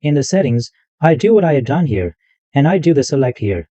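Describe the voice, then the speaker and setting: A man hosting a screen-recorded software tutorial, narrating every click step by step in loose, repetitive wording. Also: mid-range voice, sing-song, brisk, no echo. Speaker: tutorial host. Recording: dull lo-fi dry mic sound.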